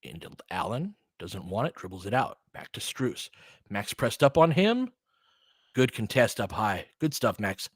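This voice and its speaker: A man's voice: fairly low pitch, big pitch swings, a little slow, husky, dry recording, studio quality. A man doing casual live basketball play-by-play and analysis, mixing short clipped phrases with conversational asides as a partisan fan of the team.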